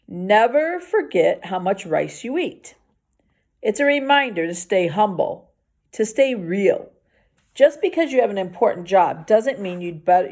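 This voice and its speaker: A woman's voice: medium pitch, creaky, a little mellow. A woman reading a children's novel aloud expressively, as a teacher does for a class.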